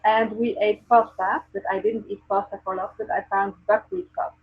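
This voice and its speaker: A woman's voice: mid-range voice, fairly even intonation, moderate pace, husky. A woman from the Netherlands with a Dutch accent, chatting earnestly as a guest on a video call with many ums and restarts. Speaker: guest, presence coach. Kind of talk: casual video call chat. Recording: tinny phone-like video call audio.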